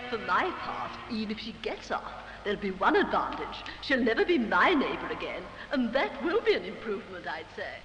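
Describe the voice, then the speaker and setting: A woman's voice: medium pitch, big pitch swings, a little fast, few pauses, lightly acted. An actress in a vintage radio drama playing a spiteful, smug neighbour woman who gossips and judges. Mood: smug disdain. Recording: echoey old radio recording over loud music.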